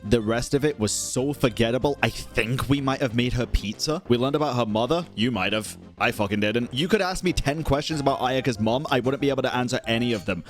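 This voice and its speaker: A man's voice: mid-range voice, fairly quick, hardly any pauses, soft background music. A man giving casual, livestream-style gaming commentary as host, blunt and sweary, often breaking into exasperated exclamations. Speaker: host of a gaming ranking video.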